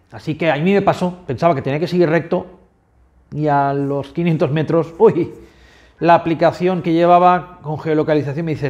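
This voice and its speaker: Man from Spain, pilgrim vlogger, slightly high voice, chatty reflective narration with direct asides to viewers. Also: a little mellow. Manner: chatty, self-correcting, addresses viewers directly.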